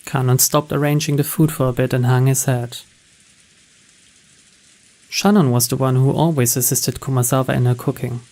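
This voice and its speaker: A man's voice: mid-range voice, level tone, normal speed, long pauses, darkish tone. A man reading a visual novel's story text aloud as scripted narration. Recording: music and rain behind.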